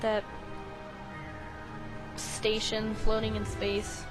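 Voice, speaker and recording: A woman's voice: mid-range voice, monotone, drawn-out words. A woman giving casual gaming commentary in a playful, rambling, chatty way. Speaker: gaming video host. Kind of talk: casual let's play commentary. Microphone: webcam recording setup. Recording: game music faintly underneath.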